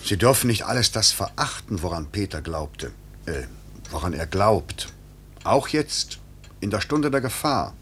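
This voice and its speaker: An actor playing a stern idealist devoted to duty in an old radio drama, speaking with insistent, declamatory gravity. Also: male voice, lowish voice, sing-song, measured pace, fairly bright tone. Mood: grave. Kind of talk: 1950s German radio play acting. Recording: faintly noisy vintage radio recording.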